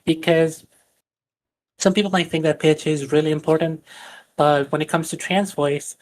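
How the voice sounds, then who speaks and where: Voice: mid-range voice, steady tone, normal speed, a little hiss or hum. Earnest voice coach, native Spanish speaker. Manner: earnest, explanatory, with many fillers and restarts. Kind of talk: teaching a voice training class on a live stream.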